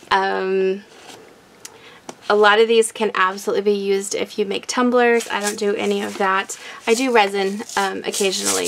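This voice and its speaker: A woman hosting a casual craft unboxing, chatty and excitable, her voice raspy from a recent illness. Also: mid-range voice, a little hiss or hum. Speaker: craft channel host. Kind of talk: casual product unboxing chat.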